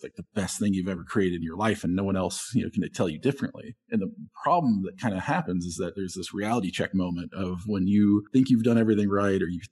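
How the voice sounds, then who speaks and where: A man's voice: sits low, a little mellow, husky. A man in his late thirties or older co-hosting a podcast, giving earnest, rambling explanations in a casual conversational way. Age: late 30s or older. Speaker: podcast co-host and entrepreneur.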